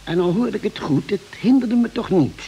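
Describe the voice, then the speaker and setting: An actor playing a caring, gently firm male spaceship doctor in a vintage radio play, with a measured, reassuring manner. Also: high voice, lively intonation. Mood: explaining. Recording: hissy old radio drama recording.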